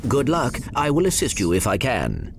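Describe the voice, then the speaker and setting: An actor playing a small, polite, earnest robot sidekick who speaks formally and precisely. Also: male voice, mid-range voice, wildly swooping pitch, normal speed. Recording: noisy ambience behind.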